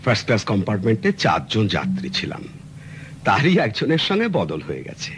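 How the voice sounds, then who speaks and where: Earnest middle-aged client, courteous, lively up-and-down voice, old radio recording with faint hiss. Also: male voice, on the low side, a little fast. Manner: courteous, earnest, explanatory storytelling. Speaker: client telling his story. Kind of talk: 1970s radio drama acting.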